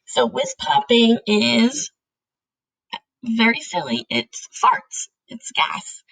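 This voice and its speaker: A woman storyteller with a fairly high voice and a lot of creak. She narrates a children's book and adds casual explanations as she goes. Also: sing-song, slow pace, a bit bright, low-quality recording. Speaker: read-aloud storyteller.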